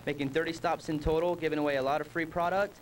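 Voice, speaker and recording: A man giving an upbeat, salesy promotional pitch as a company's promotions manager. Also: steady tone, quick pace, distant mic, a little hiss or hum, low-quality recording.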